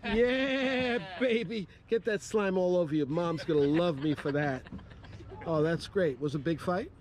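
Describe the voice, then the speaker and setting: A man's voice: sits high, moderate pace, crowd noise. A man hosting a fishing vlog at a lodge, chatting and joking with guests on camera. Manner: upbeat, joking interviewer. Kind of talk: casual on-camera chat.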